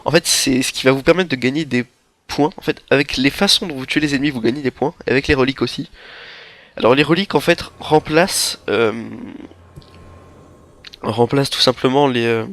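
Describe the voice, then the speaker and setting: Young man with a head cold. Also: mid-range voice. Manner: rambling, halting, relaxed. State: stuffy nose from a head cold. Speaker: gameplay commentator. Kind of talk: game walkthrough commentary. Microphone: headset microphone.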